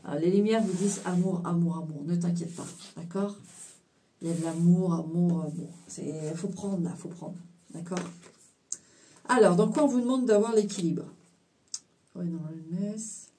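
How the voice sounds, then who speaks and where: A woman with a low, mellow voice gives a rambling tarot reading, stopping and restarting her sentences. She sounds a bit far from the microphone, with some room around her voice. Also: a little hiss or hum. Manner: halting, full of fillers and restarts, mixes in English phrases. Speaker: tarot card reader. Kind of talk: casual spiritual card reading to viewers.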